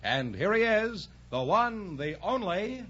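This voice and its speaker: Casting: male American mid-century radio announcer, fairly high voice with big swoops, dull old broadcast sound. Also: very animated, takes it slowly, heavy background noise. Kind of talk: 1950s radio announcing, showy introduction.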